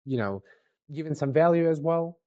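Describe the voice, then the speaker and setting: A man making a conversational sales pitch on an online call, informal and often checking in with questions. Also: lively intonation, moderate pace, no echo, narrow, tinny audio. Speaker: sales representative for a marketing agency.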